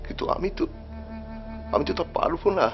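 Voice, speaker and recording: An actor playing an uneasy, hesitant man in a period drama, halting and repeating himself. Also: lightly acted. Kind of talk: Bengali period drama acting. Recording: dull lo-fi audio, faint music behind.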